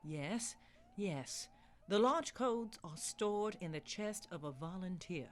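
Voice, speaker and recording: A woman's voice: lowish voice, animated, slow. Melodramatic madam President, played by a woman, swooping voice. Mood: solemn, grave. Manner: solemn, grandiose, theatrical. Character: earnest, melodramatic woman President under nuclear attack. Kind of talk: semi-comedic radio play acting.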